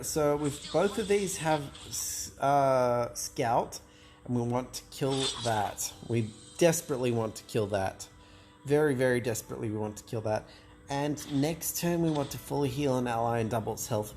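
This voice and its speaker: A man's voice: mid-range voice, darkish tone. A man commentating his own card game match, thinking aloud in a hesitant, self-doubting way, with many restarts and fillers. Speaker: gameplay commentator.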